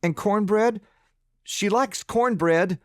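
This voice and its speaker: A man's voice: sits high, takes it slowly, no room sound, voice pushed up. Man voicing a young frightened farm boy, pleading and tender; dry close recording. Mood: hopeful. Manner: pleading, soft, tearful.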